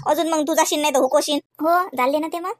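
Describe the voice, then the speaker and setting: A woman's voice: high-pitched, fast, no room sound, studio quality, natural voice. A woman voicing a talkative, fussing village grandmother in a comedy cartoon, rambling and folksy, with a Varhadi Marathi accent. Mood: chatty, gossipy. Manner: rambling, folksy, retelling conversations. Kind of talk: homemade comedy cartoon dialogue.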